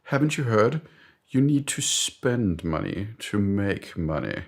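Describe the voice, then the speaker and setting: Man putting on a smug, slow British executive. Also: on the low side, very animated, creaky, pitched above the performer's own voice, exaggerated voice. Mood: smug, persuasive. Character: smug British boardroom executive obsessed with bigger numbers.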